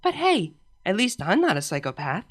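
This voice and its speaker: A woman's voice: medium pitch, huge pitch swings, normal speed, soft background music, pitched above the performer's own voice. A woman voicing a young pony princess in a comic storybook, reassuring in a hesitant, casual way. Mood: consoling. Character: soothing, tactless young pony princess, gently consoling.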